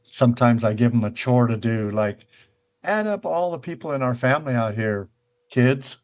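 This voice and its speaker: An older man hosting a relaxed talk show, wandering from point to point in an easygoing, rambling way. His pitch sits in an ordinary middle range, and he keeps checking in with his listeners. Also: normal speed, highs cut off. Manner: rambling, conversational, often checks in with "right?". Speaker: show host. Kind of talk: casual virtual-world tour and chat.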